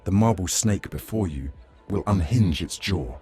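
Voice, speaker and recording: A man playing a young Roman vampire master in an audio drama, measured, teasing and faintly threatening. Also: low voice, expressive, dark tone, slightly rough, soft background music, exaggerated voice. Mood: menacing, teasing. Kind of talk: audio drama roleplay acting.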